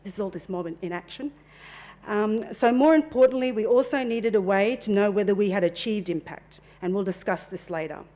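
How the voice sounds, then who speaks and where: A middle-aged woman talks through a conference presentation on a handheld mic in a meeting room. Her delivery is relaxed and chatty, full of small asides and fillers, at an ordinary middle pitch. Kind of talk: presenting a talk at a forum.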